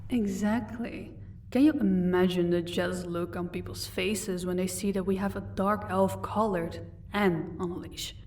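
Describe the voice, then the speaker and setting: A woman's voice: on the low side, steady tone, a little slow, darkish tone, faint music behind, lightly acted. Woman acting a commanding, sardonic vampire, slightly distant. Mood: smug. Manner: commanding, dryly sarcastic. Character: proud female vampire captor, sardonic, commanding, fond of her wife. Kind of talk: audio roleplay acting.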